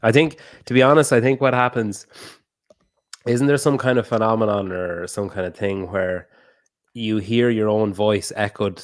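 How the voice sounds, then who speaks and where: This is a man with a fairly low voice, chatting casually as a podcast host. He rambles and swears offhandedly. The sound is dry and close, with no room tone. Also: steady tone, normal speed, no echo.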